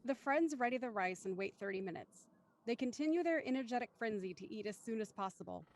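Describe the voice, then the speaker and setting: A woman's voice: a little high, expressive, fast talker, darkish tone. A woman reading scripted stage directions as the narrator of a drama.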